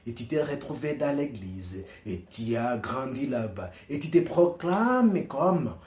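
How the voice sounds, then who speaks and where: A man giving a slow, deliberate reflective monologue, earnest and persuasive, pausing to search for words. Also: mid-range voice, big pitch swings, a little mellow, roomy sound. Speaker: commentator on religion and culture.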